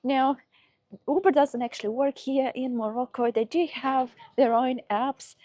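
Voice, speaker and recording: Mellow woman vlogger with a slight cold. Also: high voice, fairly even intonation, unhurried, no echo. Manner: chatty, explanatory, addresses viewers as guys. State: slight head cold, voice a bit off. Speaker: travel vlogger, host. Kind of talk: casual travel vlog narration to camera.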